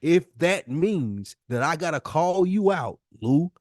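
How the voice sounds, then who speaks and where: A man's voice: a little high, expressive, slow, no echo, studio quality. An American man co-hosting a podcast in a candid heart-to-heart, speaking earnestly and emphatically and repeating himself to make his point. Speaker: podcast co-host.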